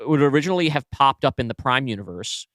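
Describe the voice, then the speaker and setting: A man co-hosting a podcast, brainstorming casually and thinking aloud in halting, self-correcting bursts. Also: medium pitch, expressive, fairly bright tone, dry recording. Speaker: podcast co-host and writer.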